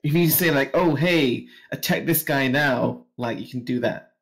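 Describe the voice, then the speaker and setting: A man's voice: medium pitch, measured pace, gravelly. A man organizing a community game night, explaining the rules over voice chat in an easygoing way. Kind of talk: casual voice chat.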